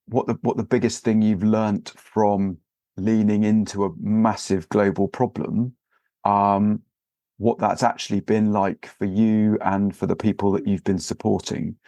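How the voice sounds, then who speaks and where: Soft-spoken man hosting a podcast. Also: lowish voice, little pitch movement, normal speed, creaky, no echo. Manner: hesitant, many fillers and restarts. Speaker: podcast host. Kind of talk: relaxed interview with scripted intro.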